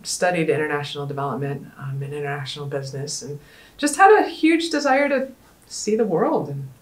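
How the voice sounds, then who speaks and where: Slightly low-voiced woman hosting a panel. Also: expressive, reverberant. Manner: earnest, conversational, with frequent ums and trailing pauses. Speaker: panel host. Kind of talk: casual conference panel talk.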